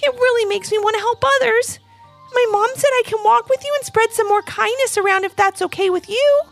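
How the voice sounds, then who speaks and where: A woman's voice: very high-pitched, fairly quick, creaky, faint music behind, voice pushed up. A woman voicing a tiny, frightened little boy bunny in a storybook reading, tearful and pleading. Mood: grateful.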